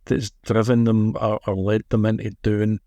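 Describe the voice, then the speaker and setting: A man's voice: on the low side, level tone, moderate pace, warm tone, no echo. A man hosting a podcast interview in a conversational way. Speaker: podcast host.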